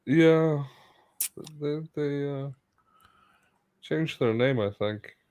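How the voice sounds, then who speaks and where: A man chatting casually, halting and stumbling over words, often laughing. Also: a little high, darkish tone, smooth. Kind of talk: casual chat.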